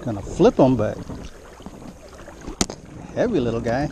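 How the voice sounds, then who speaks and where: A man's voice: medium pitch, sing-song, a little slow. A man chatting casually and informally while fishing on a lake shore, recorded on a body-worn action camera. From central California. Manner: informal, chatty, talks to the fish. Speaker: fishing vlogger. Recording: clearly noisy outdoor action-camera audio, wind.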